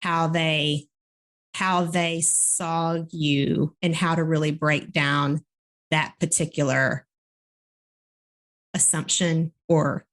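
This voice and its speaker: A woman's voice: low-pitched, fairly even intonation, slow pace, pauses often. A woman co-hosting an interview, asking long searching questions with restarts. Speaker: podcast co-host.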